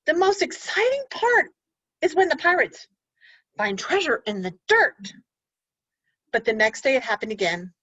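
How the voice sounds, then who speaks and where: Animated woman reading to children. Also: high-pitched, unhurried, pauses often. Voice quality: animated storyteller, asks children questions. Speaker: teacher. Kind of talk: reading a picture book aloud to children. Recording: dull lo-fi recording.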